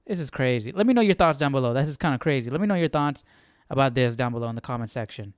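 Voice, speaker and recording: A man's voice: medium pitch, animated, quick pace, no room sound, clean studio sound. A man hosting a casual Bible study livestream, thinking aloud and often checking for agreement. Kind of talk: casual commentary.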